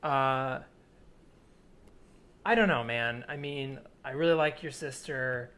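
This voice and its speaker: A man improvising an earnest, obsessive man in his twenties in a naturalistic comedy scene, speaking haltingly with pleading intensity. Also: mid-range voice, a bit bright, clear, resonant tone. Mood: hesitant, confessional. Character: earnest obsessive 27-year-old man haunted by flip-flops. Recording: remote recording with added ambient soundscape noise.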